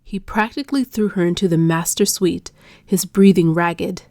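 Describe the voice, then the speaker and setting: A female audiobook narrator reads dark romance in a fairly low voice. Her delivery is measured, hushed and dramatic, recorded very dry with no room around it. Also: steady tone, no echo.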